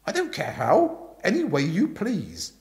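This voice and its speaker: A man voicing a bossy, indignant upper-class aunt in a storybook reading, forceful and emphatic. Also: high voice, wildly swooping pitch, a little slow, heavily acted. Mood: brisk, commanding.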